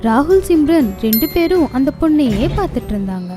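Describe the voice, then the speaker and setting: A woman's voice: high-pitched, very animated, fairly quick. A woman narrating a cartoon ghost story in a scripted storybook style. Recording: music bed behind.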